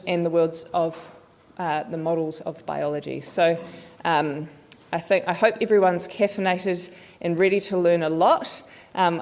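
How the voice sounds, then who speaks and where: A woman hosting a conference, introducing the keynote speaker in a friendly, loose way with fillers. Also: on the low side. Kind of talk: stage introduction. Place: conference hall stage. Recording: long reverb of a conference hall.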